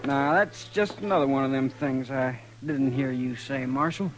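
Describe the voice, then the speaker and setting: A man's voice: mid-range voice, moderate pace, natural voice, poor audio. A man with a rural American accent acting a bitter, insolent young drunk, sardonic and drawling, in a 1950s radio western. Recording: old 1950s radio recording, faint music.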